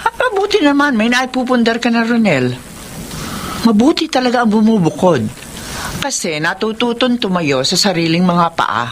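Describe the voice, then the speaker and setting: A woman's voice: on the high side, very animated, moderate pace, a little hiss or hum. An actress playing a chatty, meddling older mother-in-law and grandmother in a Filipino radio drama. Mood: approving. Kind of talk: Tagalog radio drama acting.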